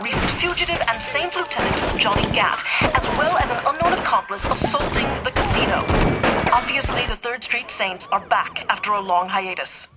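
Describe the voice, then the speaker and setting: An actress playing a brisk, probing female TV news reporter in a video game, in scripted broadcast style. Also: a little high, expressive, over loud music, lightly acted.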